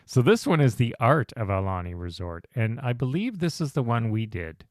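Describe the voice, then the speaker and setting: A man's voice: mid-range voice, very animated, moderate pace, no echo. Podcast co-host, male, enthusiastic and chatty, laughs easily, dry close mic. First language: not Hawaiian. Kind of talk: casual chat.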